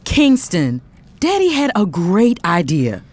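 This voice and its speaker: A woman acts a polished, bossy big sister in an audio drama. She persuades and manages with emphasis, her medium-pitched voice swinging animatedly. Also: wildly swooping pitch, takes it slowly, a little mellow, exaggerated voice. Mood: persuasive. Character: bossy, polished older sister, family peacemaker and strategist.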